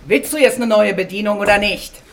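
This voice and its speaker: A woman's voice: mid-range voice, a little fast, noisy background, natural voice. An actress playing a brash, impatient woman in a radio play, blunt, curt and coarse in her talk. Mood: brusque, impatient. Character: brash, impatient woman pushing a new maid.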